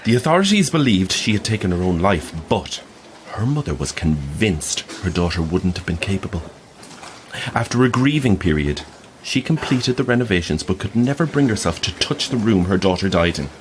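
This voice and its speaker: An actor in an audio drama playing an earnest, insistent believer in the afterlife, speaking formally and solemnly. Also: male voice, low-pitched, sing-song, moderate pace, a little hiss or hum, rough recording. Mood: solemn storytelling. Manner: formal, apologetic, storyteller's solemn phrasing. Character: earnest, insistent male afterlife-society believer telling ghostly stories.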